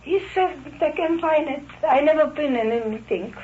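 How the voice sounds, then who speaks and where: A woman's voice: high-pitched, moderate pace, a little mellow. A woman, rambling in broken English in a candid conversation at a police station. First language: not English. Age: 70s. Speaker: member of the public at a police station. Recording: noisy, dull 1950s field radio recording.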